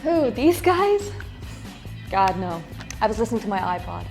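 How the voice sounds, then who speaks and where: A woman's voice: a little high, very animated, moderate pace, darkish tone, faint music behind. A woman playing a blunt, sardonic young studio engineer in a sitcom, with a dismissive conversational delivery. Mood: scornful.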